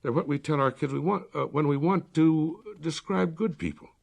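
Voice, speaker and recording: A man's voice: a little high, normal speed, creaky, voice pushed up. A man voicing an earnest, troubled, plain-spoken local farmer in a story reading. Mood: earnest, halting.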